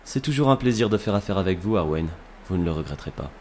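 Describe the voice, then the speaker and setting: A man's voice: low-pitched, animated, quick pace, a little mellow, slight background noise. A man playing a smug, scheming, disgraced politician in a French sci-fi audio drama, mocking and self-assured. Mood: self-satisfied.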